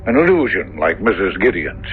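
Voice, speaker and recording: A muffled old radio recording, with music behind, of a skeptical doctor. His lowish voice is measured and professional. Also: very animated. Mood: reassuring, skeptical. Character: rational, dismissive male hospital doctor. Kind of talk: 1970s radio drama acting.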